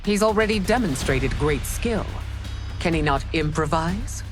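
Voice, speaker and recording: A woman's voice: deep voice, lively intonation, unhurried, music in the background. A woman playing a stern, regal middle-aged empress in a video game, speaking formally and imperiously. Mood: formal, ceremonial. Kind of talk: video game cutscene acting.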